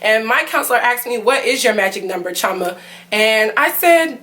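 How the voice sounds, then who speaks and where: A young woman vlogging candid relationship advice in an earnest, confiding way, full of fillers, with a lower voice for a woman and emotion close to tears. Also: animated, moderate pace, bright, forceful tone.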